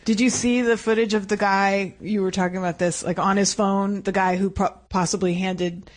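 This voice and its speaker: Woman guest on a radio show, chatty, laughs at herself, mid-pitch voice. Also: steady tone, normal speed. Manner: chatty, laughs easily, self-deprecating. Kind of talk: casual chat.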